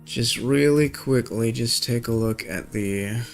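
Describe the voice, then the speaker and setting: A man's voice: medium pitch, slow, gravelly, faint music behind. A man talking casually as a game streamer, thinking aloud in informal, slangy language. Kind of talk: casual gaming stream chat.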